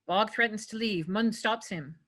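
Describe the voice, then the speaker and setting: A woman's voice: medium pitch, moderate pace, a bit bright. A woman narrating a screenplay, reading out scripted stage directions at a table read.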